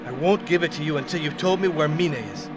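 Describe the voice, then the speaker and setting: A man's voice: on the high side, fast talker, lightly acted. A man voicing a determined young husband hero. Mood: defiant. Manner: defiant, demanding, earnest. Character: determined young husband searching for his wife. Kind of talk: game cutscene voice acting. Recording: game audio with clear music score.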